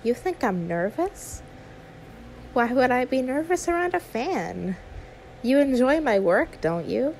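A woman's voice: high-pitched, very animated, measured pace, creaky, darkish tone, slight background noise, heavily acted, low-quality recording. A woman voicing an anxious, sweet young female YouTuber in an audio roleplay, stammering, awkward and apologetic. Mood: reassuring. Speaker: ASMR roleplay performer. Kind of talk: one-sided ASMR audio roleplay.

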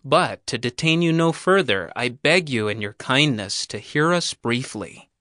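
An actor playing a flattering, accusing spokesman addressing a governor in a slow, unctuous, formal manner. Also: medium pitch, no echo, clean studio sound. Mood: flattering, deferential. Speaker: actor in an audio Bible drama. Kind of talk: formal dramatized oratory.

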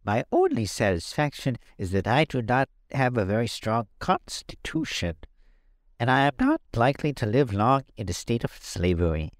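A man voicing a huge winged male creature with a squeaky, high voice, talking in a formal, wordy, mournful way. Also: sing-song, moderate pace, no room sound, clean studio sound, close to an everyday voice. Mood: resigned.

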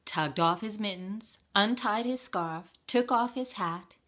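A woman reading a children's picture book aloud in a deliberate, expressive storytime style. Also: mid-range voice. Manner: deliberate, expressive, with playful sound words. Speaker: storytime reader at a library.

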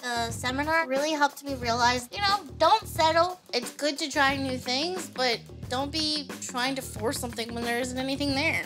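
The high-voiced young woman you would hear venting to camera on a dating reality show, music running underneath. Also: normal speed, few pauses. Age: young adult. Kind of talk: reality show confessional and date chat. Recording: music behind the voice. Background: background music.

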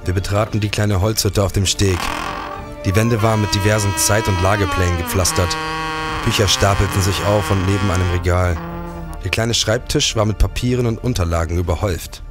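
Police investigator and narrator, male, acted for radio drama: lowish voice, polite, dry, music behind. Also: steady tone, a little fast, close to an everyday voice. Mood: narrating. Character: polite, dryly sarcastic policeman investigator narrating his case. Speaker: voice actor, narrator and lead. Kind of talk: radio play acting and scripted narration.

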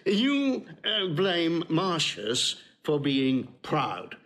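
An actor playing a witty, sardonic Roman patrician, teasing with hesitant mock-politeness in Shakespearean comic style. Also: male voice, a little high, wildly swooping pitch, extremely slow, fairly bright tone, full character voice. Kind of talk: classical Shakespearean comic acting.

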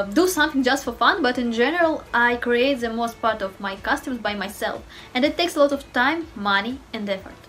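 A woman's voice: a little high, a bit bright. A woman in her twenties from Russia with a Russian accent, a cosplayer chatting to camera at home in careful non-native English. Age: 20s. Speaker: cosplayer and costume designer. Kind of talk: self-introduction to camera. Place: home room indoors.

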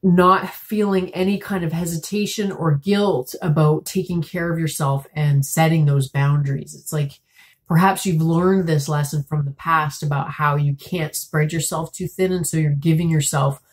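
A woman in her thirties giving a tarot reading to camera at home, a bit congested and raspy from a cold, talking in a soft, musing way with many fillers. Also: low voice, fairly even intonation, normal speed. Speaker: tarot reader. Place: small room at home, indoors.

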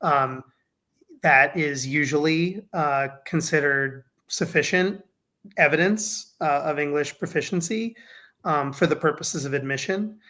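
Man, fairly high voice, measured, lots of fillers, video call, Q&A host. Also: bright, forceful tone. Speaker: faculty member hosting a Q&A. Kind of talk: casual Q&A moderation.

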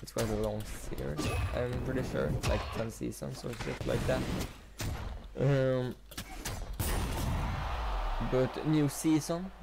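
A teenage man with a Swedish accent, a native Swedish speaker, chatting casually while playing a game, rambling with many fillers and pauses. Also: medium pitch, steady tone, vocal fry, over loud music. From Sweden. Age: teens. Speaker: gaming YouTuber. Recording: loud noise behind the voice.

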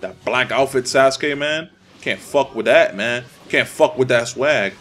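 A young man from the Dominican Republic commentates his own fighting-game matches in loose, profane talk. He sounds easily irritated and keeps ranting at his opponents. His voice sits in a middle pitch, with faint game music playing under it. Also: unhurried, fairly bright tone. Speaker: gameplay commentator. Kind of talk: casual gaming commentary.

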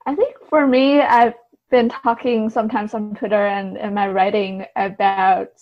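A woman's voice: medium pitch, fairly even intonation, a little slow. A woman answering conversationally as an interview guest, explaining things in a tentative, hedging way. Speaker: podcast guest, writer and translator. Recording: slightly dull, lo-fi sound.

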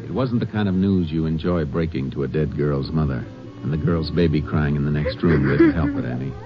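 An actor playing a stern, no-nonsense Texas Ranger, terse and authoritative, in an old radio drama. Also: male voice, extremely low pitch, a little fast, over loud music. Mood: matter-of-fact. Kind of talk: 1950s radio drama acting and narration. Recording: muffled, noisy old radio recording.

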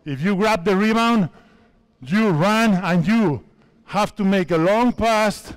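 A basketball coach from Spain in his fifties, a native Spanish speaker, talks players through drills in a big indoor sports hall. His voice sits a little high, and he speaks slowly and deliberately in short, instructive commands. Basketballs bounce in the background. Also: smooth. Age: 50s. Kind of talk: coaching clinic on court.

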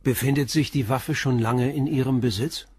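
Man playing police inspector, husky voice, medium pitch, terse, probing, calm, dry studio radio drama. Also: moderate pace, no echo, lightly acted. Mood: dry, insistent. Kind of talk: German radio crime drama acting.

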